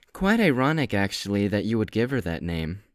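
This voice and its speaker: A man's voice: fairly low pitch, no room sound, studio quality. A man voicing a smug, teasing vampire rival. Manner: mocking, sly, playful. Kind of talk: amateur roleplay voice acting.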